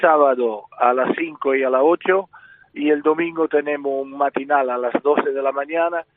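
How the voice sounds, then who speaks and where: A man's voice: a little high, steady tone, normal speed, no echo. A man with an Italian accent, interviewed on the radio about his circus, often repeating himself and restarting phrases. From Spain. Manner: repetitive, restarts often, promotional. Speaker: circus representative. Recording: tinny phone line.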